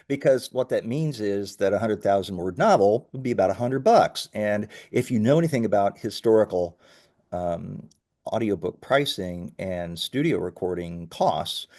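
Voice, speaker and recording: A man's voice: fairly low pitch, animated, measured pace, darkish tone. A man speaking as a company founder and interview guest, explaining his business earnestly in a conversational way, point by point.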